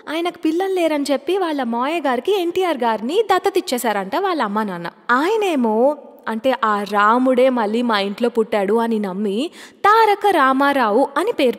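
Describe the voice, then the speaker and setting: Chatty woman radio jockey with reverb. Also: high-pitched. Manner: chatty, asks listeners questions. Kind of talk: casual radio show hosting.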